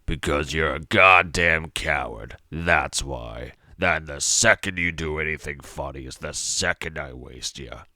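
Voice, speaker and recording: A man's voice: a bass voice, a little slow, hardly any pauses, bright, forceful tone, no echo, studio quality, heavily acted. A man voicing an irritable, menacing mob enforcer with sardonic, threatening tough-guy talk in a story reading. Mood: cold menace.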